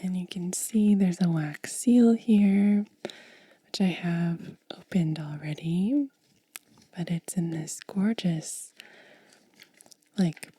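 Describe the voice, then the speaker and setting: Female ASMR host for whispered unboxing: very mellow, breathy, unhurried and hushed. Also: lowish voice. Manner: hushed, lingering delivery.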